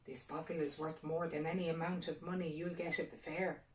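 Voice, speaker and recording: A woman's voice: low-pitched, level tone, brisk, a little mellow, far from the mic, a little hiss or hum, close to an everyday voice. Woman voicing a sly old fairy man, roomy sound. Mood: sly, coaxing. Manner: pushy, needling bargaining.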